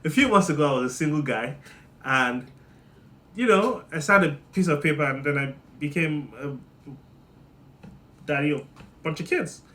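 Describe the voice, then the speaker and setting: A man from Nigeria with a Nigerian accent, giving a joking monologue that swings between loud bursts and low muttering. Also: normal speed, long pauses. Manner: playful, teasing, jumps between loud and muttered. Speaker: monologue host. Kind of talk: comedic monologue.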